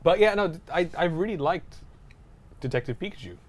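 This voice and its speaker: A man's voice: on the high side, expressive, a little fast. A man co-hosting a podcast in casual conversation, often chuckling as he talks. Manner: chuckles often while talking. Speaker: podcast co-host. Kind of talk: casual chat about video game movies.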